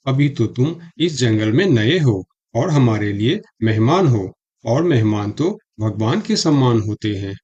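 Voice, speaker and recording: Husky, animated cartoon donkey, voiced by a man. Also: mid-range voice, a little slow, highs cut off. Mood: welcoming. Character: friendly, hospitable donkey. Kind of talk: children's cartoon story dialogue.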